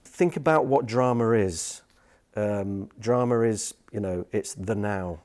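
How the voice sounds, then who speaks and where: A man speaks in a dark, relaxed tone on the low side. He is conversational and emphatic, giving advice informally as a producer in an interview. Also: takes it slowly. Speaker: radio drama producer giving writing advice.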